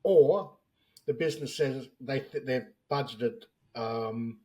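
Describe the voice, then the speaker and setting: A man's voice: mid-range voice, slow, a little mellow. An Australian man in his fifties, a business analyst speaking as a podcast guest and explaining plainly with everyday examples. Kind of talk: podcast interview.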